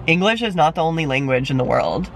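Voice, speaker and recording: A man's voice: a little high, expressive, fast talker, a bit bright, a little hiss or hum, poor audio. A young man chatting casually with a friend in a parked car, full of fillers and supportive. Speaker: friend and co-host. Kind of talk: casual chat.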